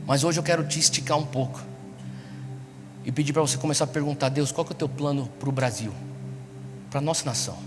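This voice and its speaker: Male Brazilian preacher, highish voice, urging a young crowd through repeated questions, clear music underneath. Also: fairly quick, pauses often. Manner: exhortative, rhetorical questions and repetition. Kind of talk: sermon to a young congregation. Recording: echoey large hall, music behind.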